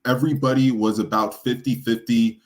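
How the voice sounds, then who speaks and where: A man with a deep voice chatting on a live stream about a debate he took part in. He speaks haltingly, with many restarts and fillers, and sounds earnest. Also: fairly even intonation, soft background music. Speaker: debate participant reacting to his own debate. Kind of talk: casual live-stream chat.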